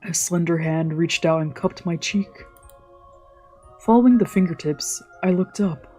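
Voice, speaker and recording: Mellow streamer narrating over game music. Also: medium pitch, measured pace. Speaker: streamer reading a visual novel aloud. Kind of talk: let's play read-aloud narration with casual asides. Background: visual novel background music.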